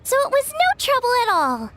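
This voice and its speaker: A woman's voice: very high-pitched, huge pitch swings, normal speed, noisy background, heavily acted. A woman playing a sweet, caring young girl in an English video game dub, with a youthful, earnest, friendly delivery. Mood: cheerful. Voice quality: youthful, girlish.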